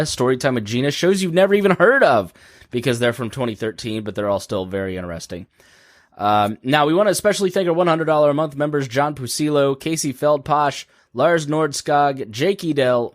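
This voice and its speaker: Hoarse guy hosting a live stream, rambly and jokey, dry close mic. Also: mid-range voice, no echo. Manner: rambling, jokey, many fillers. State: hoarse from laryngitis. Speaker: podcast host. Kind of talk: casual live-stream chat.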